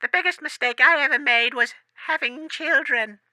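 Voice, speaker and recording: A woman's voice: sits high, normal speed, pushed and bright, dry recording. A woman playing a callous, haughty executive mother, brusque and indignant, calling a comedy radio show. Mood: disdainful. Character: callous, haughty female executive mother who hates children. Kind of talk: scripted comedy radio phone-in acting.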